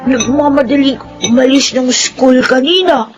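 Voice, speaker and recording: Little kid, very high voice, Filipino accent, polite, inquisitive, innocent, radio-style drama, faint music. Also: female voice, a little slow, muffled recording. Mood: curious, puzzled. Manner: polite, questioning. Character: innocent, inquisitive little child of about five.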